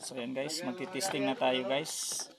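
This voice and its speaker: A man with a Filipino accent giving a casual, hands-on, step-by-step repair demonstration as a tutorial host, speaking haltingly and often addressing viewers. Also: mid-range voice, flat delivery, a crowd in the background. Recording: noisy amateur recording with clicks.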